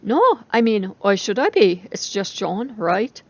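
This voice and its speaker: A woman's voice: mid-range voice, big pitch swings, measured pace, no room sound, muffled recording. A woman voicing a troubled, defensive young female student in a story reading, awkward, hesitant and self-conscious. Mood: anxious. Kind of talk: dramatic audiobook reading.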